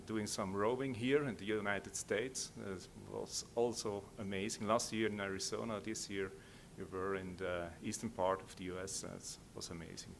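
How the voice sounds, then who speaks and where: A middle-aged man with a Swiss accent giving an informal slide presentation in a halting, hesitant way. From Switzerland. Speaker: conference speaker. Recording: low rumbling background noise.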